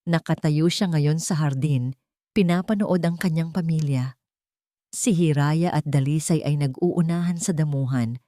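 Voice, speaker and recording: A woman reading a sentimental story as an audiobook narrator, in a measured, dramatic way. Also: sits low, darkish tone, dry recording, studio quality.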